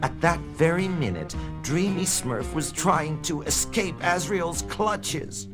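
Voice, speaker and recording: A man narrating a scripted children's read-along story in an expressive, dramatic storytelling manner. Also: fairly high pitch, takes it slowly. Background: background music.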